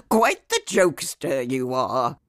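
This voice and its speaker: A woman's voice: deep voice, no room sound, heavily acted. A woman playing a haughty, dry-witted witch storyteller in a comic fantasy audio drama. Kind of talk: scripted comic fantasy banter.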